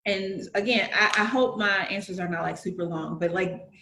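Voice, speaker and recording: A woman's voice: medium pitch, normal speed, sharp tone, reverberant, poor audio. A woman with a Southern US accent in casual conversation, earnestly explaining her points with analogies and frequent fillers. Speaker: guest.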